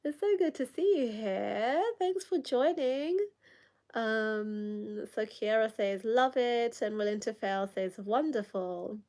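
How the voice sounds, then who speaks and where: A woman's voice: on the high side, slow, darkish tone. A young woman with a Kenyan accent who hosts a late-night livestream. She keeps up a casual chat, laughs often, reads viewer comments aloud, and coughs now and then from a lingering cold. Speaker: livestream host.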